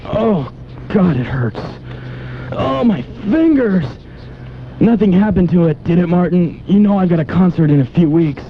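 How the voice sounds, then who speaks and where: Casting: man playing desperate, self-absorbed pianist, insistent old-time radio melodrama, fairly high voice, lo-fi broadcast sound. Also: lively intonation, measured pace, slight background noise. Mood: anguished. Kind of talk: old-time radio drama acting with first-person narration. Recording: dull 1990s AM radio aircheck with music.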